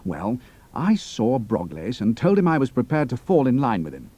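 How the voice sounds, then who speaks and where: An actor playing a cool, capable young pilot and spy, speaking in a clipped, matter-of-fact way. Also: male voice, mid-range voice, huge pitch swings, moderate pace, dark tone, slightly rough, dry recording. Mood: earnest briefing.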